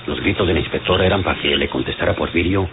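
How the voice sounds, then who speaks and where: Actor as a low-voiced Mexican outlaw's sidekick. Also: male voice, fast talker, lightly acted. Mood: matter-of-fact. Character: plain-spoken male companion of a fugitive outlaw. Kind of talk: old Mexican radio serial acting. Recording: noisy, tinny old radio serial recording.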